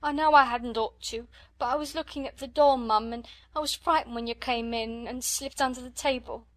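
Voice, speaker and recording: A woman's voice: on the high side, steady tone, moderate pace, a bit bright, no echo, pitched above the performer's own voice, natural voice. A woman voicing a timid, tearful young scullery maid who pleads in a servant's dialect. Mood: frightened.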